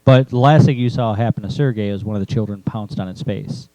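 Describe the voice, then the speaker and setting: A man's voice: lowish voice, brisk, mellow, dry recording, rough recording. A man co-hosting a podcast, chatting casually as he discusses a story with others. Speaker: podcast host and author.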